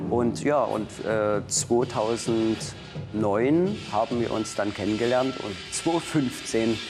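A man from Saxony, speaking in a relaxed, halting way with fillers. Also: lowish voice. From Saxony, Germany. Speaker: arts producer. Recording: interview with loud music behind.

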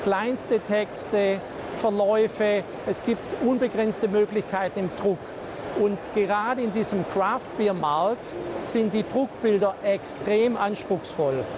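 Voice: medium pitch, slow pace. Speaker explaining printing over hall noise. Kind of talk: trade-fair interview.

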